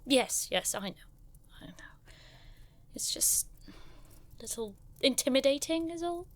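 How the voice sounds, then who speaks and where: An elegant, sarcastic young scholar. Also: female voice, high voice, expressive, unhurried. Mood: reluctant admission. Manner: wry, teasing, arch. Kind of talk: audio drama acting.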